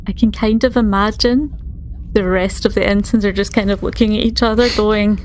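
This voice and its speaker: A woman's voice: mid-range voice, steady tone, moderate pace, a little mellow, a little hiss or hum, poor audio. A woman playing a tabletop game, casually improvising descriptions of the scene. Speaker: player in a tabletop roleplaying game.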